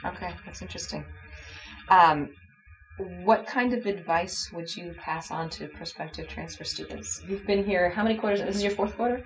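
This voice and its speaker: A woman on university staff interviewing a transfer student, brisk and saying "okay" often. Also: lowish voice, soft background music, poor audio. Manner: brisk, frequent okays, plugs programs. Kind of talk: friendly scripted interview.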